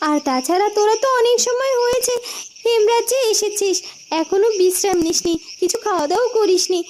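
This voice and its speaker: Woman voicing a very high-pitched caring young princess. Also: a little fast, a little mellow, a little hiss or hum. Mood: caring, firm. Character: young princess, caring, dutiful elder sister. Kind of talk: Bengali fairytale cartoon dubbing.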